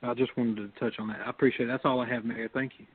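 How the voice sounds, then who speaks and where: A man's voice: mid-range voice, little pitch movement, rapid-fire, slightly rough. A man speaking as a council member, putting questions in a budget meeting. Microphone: conference call. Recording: thin, tinny phone line.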